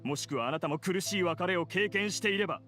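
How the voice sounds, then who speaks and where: A man's voice: sits high, very fast, sharp tone. A man playing a self-righteous, defiant scholar villain in an anime game. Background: game music.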